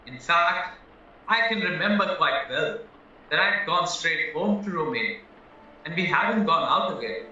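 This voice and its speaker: A man's voice: fairly high pitch, expressive, a little slow, pushed and bright, exaggerated voice. A man acting in an audio play as a naive, anxious young husband accused of murder, earnest and rambling as he protests. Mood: defensive. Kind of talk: stage-style audio drama acting. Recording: very echoey, muffled, distant, music underneath.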